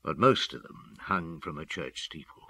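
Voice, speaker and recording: A man acting as a brooding middle-aged police detective, narrating a radio drama in a measured, dramatic way. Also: rumbling low, lively intonation, bright, forceful tone, dry recording, exaggerated voice. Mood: grim, brooding.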